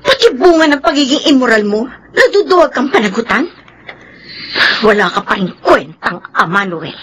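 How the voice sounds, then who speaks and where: A woman's voice: fairly high pitch, lively intonation, moderate pace, fairly bright tone, breathy edge. An actress playing a grieving, scornful mother in a Filipino radio drama, speaking in tearful, dramatic outbursts. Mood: tearful fury. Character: grieving, bitter mother condemning her immoral ex-partner. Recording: music bed under the voice.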